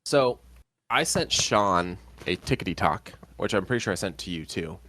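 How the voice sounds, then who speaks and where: A man's voice: low voice, animated, moderate pace, a bit bright. Sniffly man rambling on a podcast. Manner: rambling, filler-heavy, lots of ums. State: sniffly, congested. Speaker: podcast co-host. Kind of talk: casual chat.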